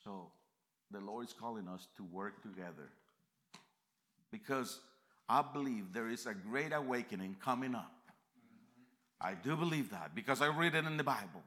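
Bright, fervent preacher. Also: male voice, on the low side, animated, moderate pace, pauses often. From Central America. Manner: emphatic, swings between quiet reflection and loud fervent peaks. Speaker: visiting preacher. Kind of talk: sermon. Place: church.

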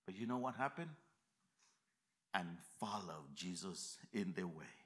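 A man from America, preaching a sermon in a church, emphatic and swinging between quiet reflection and loud fervent peaks. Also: deep voice, expressive, a little slow. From Central America. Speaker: visiting preacher.

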